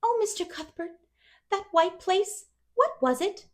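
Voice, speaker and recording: Storybook role: woman as an imaginative, chatty young orphan girl, high and swooping, full of gushing wonder. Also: lively intonation, measured pace. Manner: effusive, breathless wonder, dramatic emphasis. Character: talkative, dreamy eleven-year-old orphan girl, gushing and imaginative.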